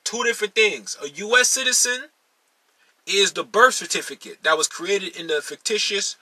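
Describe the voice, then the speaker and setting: Husky, bright-voiced man teaching. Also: high-pitched, moderate pace, dry recording, low-quality recording. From United States, Maryland. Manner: emphatic, repeats key phrases, addresses audience as y'all. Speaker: teacher addressing followers. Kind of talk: instructional monologue.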